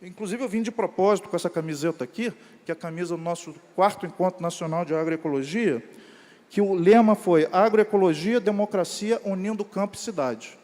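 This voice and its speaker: A man speaks on a seminar panel with a high voice, earnest and emphatic. The hall gives his voice a long, trailing reverb. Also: brisk, darkish tone. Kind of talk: seminar talk.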